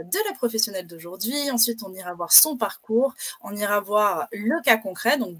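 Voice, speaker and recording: A woman hosts a live stream in a bubbly, chatty way, her fairly high voice a touch husky. The sound is dry and close, with no room around it. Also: dry recording. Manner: bubbly, chatty, many fillers. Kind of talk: hosting a live careers stream.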